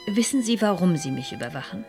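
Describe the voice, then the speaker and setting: An actress playing a shy but determined schoolteacher turned amateur sleuth in a radio play, speaking politely and persuasively. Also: female voice, fairly low pitch, lively intonation, quick pace, creaky, darkish tone, soft background music. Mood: polite, insistent. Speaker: narrator and lead character. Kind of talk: 1970s German radio play acting.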